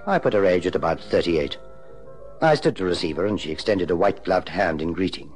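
An actor playing a suave private investigator in an old-time radio drama, measured and polite. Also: male voice, low-pitched, animated, darkish tone, soft background music. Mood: measured, dramatic. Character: suave male private investigator telling his case.

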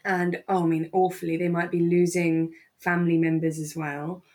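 A woman's voice: fairly low pitch, little pitch movement, normal speed, a little mellow. A woman co-hosting a podcast in casual, reflective conversation, thinking aloud hesitantly and often repeating phrases as she goes. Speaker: podcast co-host.

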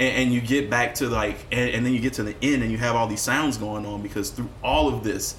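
A man speaking as a musician guest in a candid podcast conversation, telling personal stories in a reflective, expressive way with casual swearing. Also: mid-range voice, fairly quick. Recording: roomy echo with faint background noise.

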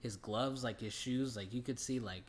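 A man's voice: mid-range voice, normal speed, slight background noise. A young man co-hosting a podcast, giving his take on films in a casual, slangy, laid-back way. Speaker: podcast co-host. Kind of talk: casual film review chat.

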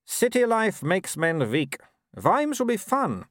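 Smug young werewolf aristocrat, as a man puts him on, speaking a bit higher than the reader's own voice with calm, cold arrogance. The tone swings with quiet menace, recorded dry and close for an audiobook. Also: slow, no echo, exaggerated voice. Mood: menacing. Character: arrogant athletic young male werewolf noble.

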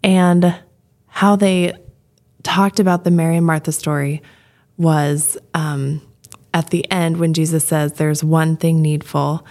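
A woman co-hosting a podcast, talking in easy, chatty conversation. Her lower voice has a gravelly edge, and she laughs readily and swings up in pitch when she gets animated. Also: takes it slowly. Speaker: podcast co-host. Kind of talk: casual chat.

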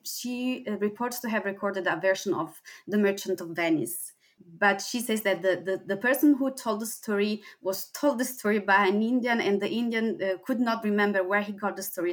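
Woman, academic panelist, halting, frequent fillers, measured explaining. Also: medium pitch. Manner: hesitant, many fillers and restarts while explaining. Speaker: panelist, translation researcher.

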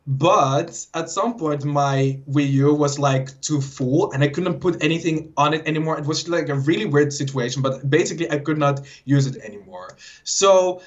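A young man with a Dutch accent and a fairly high voice talks quickly in a loose, rambling way, full of "like" and "um". His pitch leaps when he quotes his own reactions. The audio is dull and lo-fi. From Netherlands. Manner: rambling, quick, many fillers, lively jumps when quoting himself. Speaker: guest YouTuber. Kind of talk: casual interview chat.

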